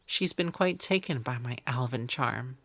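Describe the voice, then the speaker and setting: A woman's voice: extremely low pitch, animated, measured pace, no echo, heavily acted. A woman voicing a proud female elf wizard in an audio roleplay, prim and aloof, often sighing. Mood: haughty.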